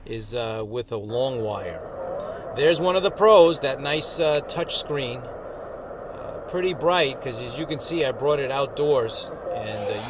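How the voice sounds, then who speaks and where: A man giving a casual hands-on product review outdoors, speaking in a halting, hesitant way. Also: medium pitch. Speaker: radio reviewer. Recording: outdoor noise, insects and traffic.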